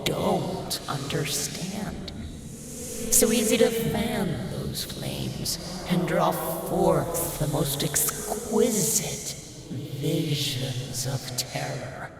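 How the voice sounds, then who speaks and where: A man's voice: on the high side, very animated, drawn-out words, vocal fry, heavily acted. A man playing an ancient, cruel demon that possesses a boy in a fantasy audio drama, taunting and savoring its words. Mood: cruel relish. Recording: echoing, distant, heavy noisy ambience.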